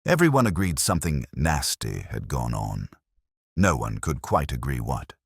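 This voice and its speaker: A man's voice: rumbling low, very animated, normal speed, vocal fry, slightly rough, no room sound, clean studio sound. A man reading a mystery story as scripted narration, in the manner of an audiobook narrator.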